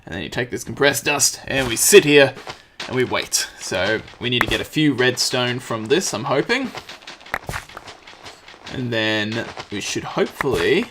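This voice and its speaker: A man with a slightly croaky voice, casually commenting on his gameplay and thinking aloud as he crafts. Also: medium pitch, lively intonation, a little slow, noisy background. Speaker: let's play host.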